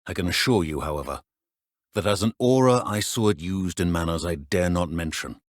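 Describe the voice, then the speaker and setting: A stern, middle-aged British professor of wizardry, played by a man, very low in pitch and recorded dry. He speaks in clipped, formal, measured phrases with a dry edge, like a video game mentor. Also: no room sound, natural voice. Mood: instructive.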